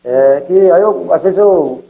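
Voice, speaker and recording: A man's voice: on the high side, takes it slowly, vocal fry, lo-fi sound. An older man from São Paulo with a São Paulo accent, speaking as a missionary and telling stories in an interview, leaning on fillers and tag questions. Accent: São Paulo Portuguese. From São Paulo, Brazil. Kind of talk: conversational testimony interview.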